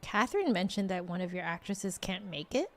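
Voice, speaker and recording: A woman's voice: lowish voice, expressive, a little fast, slight background noise. A woman streaming a video game in a casual playthrough, reading dialogue choices aloud. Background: game music.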